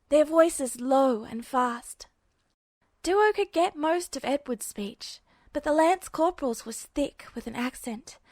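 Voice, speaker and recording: A woman narrates fiction from a script in the manner of a home-made audiobook. Her voice is high. The recording is dry, with hardly any room sound. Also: no echo.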